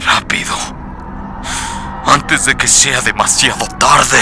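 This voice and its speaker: A man's voice: medium pitch, steady tone, slow, over music, pitched above the performer's own voice. A man voicing a terrified, doomed man who desperately pleads with his friend to flee in a horror audio story. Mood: anxious urging. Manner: desperate, pleading, bursting into cries.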